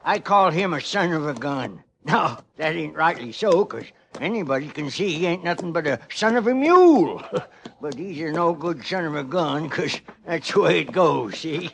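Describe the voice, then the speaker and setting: An actor playing a folksy, rambling old Wild West miner with an American Western accent in a radio western. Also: male voice, fairly high pitch, animated, normal speed, creaky, fairly bright tone. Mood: folksy, amused. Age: older. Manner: folksy, chuckling, coughing, rambling. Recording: noisy old radio recording.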